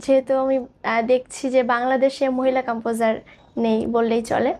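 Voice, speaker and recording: A woman's voice: fairly high pitch, level tone, normal speed, a little mellow, slight background noise. A woman, a singer-songwriter earnestly explaining herself in interview answers. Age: 20s.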